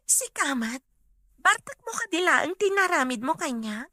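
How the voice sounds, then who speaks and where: A woman's voice: high voice, very animated, normal speed, pushed and bright, dry recording, heavily acted. A woman with an Ilocano accent playing an emotional, pleading woman in a radio drama.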